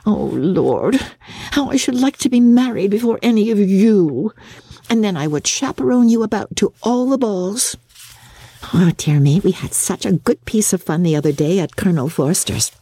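A woman's voice: medium pitch, expressive, moderate pace, dark tone, breathy edge, no echo, pitched above the performer's own voice. A woman voicing a giddy, boastful teenage girl from a period novel, gushing and exclaiming in a dramatic audiobook reading. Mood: excited, boastful.

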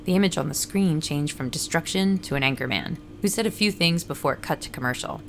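A woman's voice: low voice, expressive, a little fast, a bit bright, slight background noise. A woman playing a friendly, ordinary runner and ad writer, narrating her story in a scripted fiction podcast. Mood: narrating, calm. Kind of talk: first-person scripted audio drama narration with dialogue.

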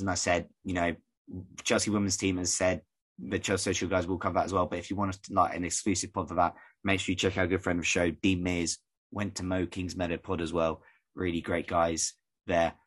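A man from the UK hosting a casual podcast, rambling and conversational, his voice croaky. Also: sits low, little pitch movement, fairly quick, a bit bright. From United Kingdom. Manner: rambling, conversational, many fillers. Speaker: podcast host.

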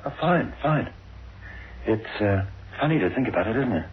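A man's voice: fairly low pitch, lively intonation, moderate pace, close to an everyday voice. An actor playing a hesitant, stammering young man in a 1960s radio horror drama. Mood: hesitant, musing. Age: young adult. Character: young male hospital patient, hesitant, later panicking accident victim. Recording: noisy, narrow, cavernous echoing old radio.

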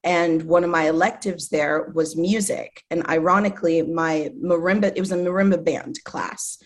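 Woman guest talking in a lowish voice. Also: fairly even intonation, moderate pace. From Zimbabwe. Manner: enthusiastic, many fillers like "like" and "you know". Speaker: guest, artist manager. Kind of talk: casual interview conversation.

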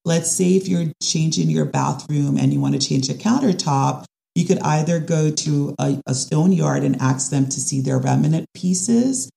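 A woman, a design specialist talking through her ideas in a casual interview, often pausing and restarting. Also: low-pitched, creaky, mellow. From Caribbean. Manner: thoughtful, with frequent restarts and fillers. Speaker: design and construction specialist guest.

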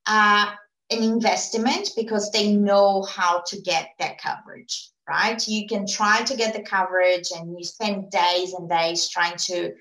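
A woman who runs a PR agency answers interview questions in a casual, earnest way, often restarting her sentences and filling pauses. English is her second language. Her voice sits in a middle range and bounces around an echoey room. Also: medium pitch, flat delivery, fairly bright tone. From immigrant to Australia. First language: not English. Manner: earnest, frequent fillers and restarts. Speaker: PR agency owner, guest.

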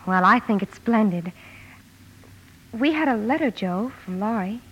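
Actress as a gentle elder sister, dull old recording. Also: female voice, mid-range voice, expressive, a little slow, a little hiss or hum, lightly acted. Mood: caring, concerned. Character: gentle, caring married elder sister narrating family story. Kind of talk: 1950s radio drama narration and acting.

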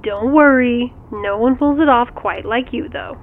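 A woman's voice: a little high, a little slow. An actress playing a chatty, teasing woman friend in a naturalistic audio drama, heard through a radio or phone filter. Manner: playful, teasing. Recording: tinny, narrow-band radio sound.

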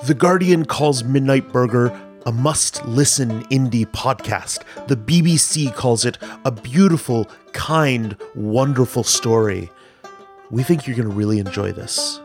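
A man introduces a podcast in a folksy, conversational tone. His voice is mid-range, with gentle music underneath. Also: mid-range voice, a little slow. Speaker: podcast host. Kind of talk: casual scripted introduction. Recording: music behind.

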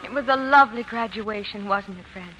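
An actress playing a prim, genteel schoolteacher, proper and instructive, in a 1940s radio drama. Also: female voice, mid-range voice, fairly quick, over music, lightly acted, poor audio. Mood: gentle, coaxing. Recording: vintage 1940s radio, faint noise.

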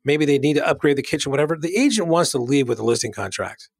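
A man's voice: a little high, animated, fast talker, creaky, slightly rough, no echo, clean studio sound. A man co-hosting a podcast, chatting informally and often checking in with the listener as he explains. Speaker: podcast co-host. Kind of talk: casual conversational podcast chat.

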